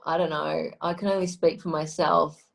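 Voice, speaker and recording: A woman's voice: sits low, steady tone, normal speed, gravelly. A woman with an Australian accent, a guest speaking emphatically in a casual conversation and repeating phrases for stress.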